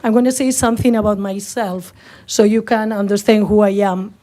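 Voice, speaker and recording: A woman's voice: medium pitch, fairly even intonation, dark tone. Spanish-accented woman speaking at a podium. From northern Spain. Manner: halting, searching for words, many fillers. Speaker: public commenter, candidate. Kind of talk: public comment at a meeting. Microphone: podium microphone.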